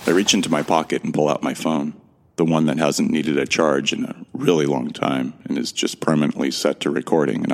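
A man plays a lost amnesiac from Earth narrating a sci-fi audio drama. His voice is extremely deep with a heavy creak. He is measured and reflective, with a bewildered, earnest streak.

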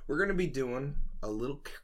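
A man's voice: mid-range voice, lively intonation, quick pace, a bit bright. A man co-hosting a podcast in a casual chat about folklore, talking in a jokey, colloquial way. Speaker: podcast co-host.

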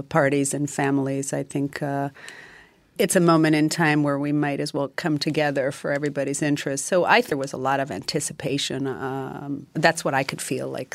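Female politician, deep and gravelly, animated interview answers. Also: dry recording. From Greece. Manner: animated, many fillers. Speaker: member of the European Parliament.

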